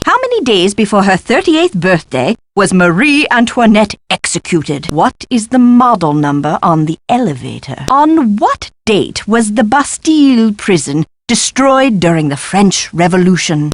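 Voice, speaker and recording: An actress playing an eccentric, excitable, absent-minded woman professor in a video game, with a flighty, pompous and exclamatory delivery. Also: mid-range voice, no echo. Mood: brisk quizzing. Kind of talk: theatrical video game voice acting.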